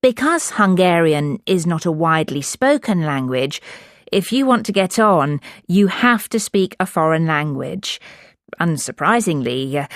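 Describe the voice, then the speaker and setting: A woman with a fairly low voice speaks calmly and clearly, at an unhurried pace. The sound is close and dry, with no room in it. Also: dry recording. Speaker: exam candidate in sample answer. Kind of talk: scripted exam speaking answer.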